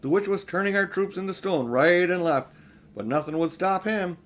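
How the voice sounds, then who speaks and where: A man's voice: sits high, normal speed, pitched above the performer's own voice, natural voice. An older man voicing an earnest young king in a storybook, recounting a battle emphatically. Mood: earnest, excited.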